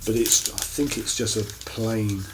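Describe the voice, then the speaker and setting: A man giving a casual, unscripted show-and-tell to camera as a record collector, in a halting, rambling way with many pauses. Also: fairly low pitch, fairly even intonation, background noise. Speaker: record collector presenting finds on his channel.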